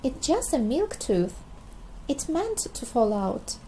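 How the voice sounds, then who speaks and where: A woman's voice: high-pitched, big pitch swings, takes it slowly, mellow, a little hiss or hum, full character voice, poor audio. A woman voicing a patient, reassuring mummy pig in a children's storybook, soothing as she explains things.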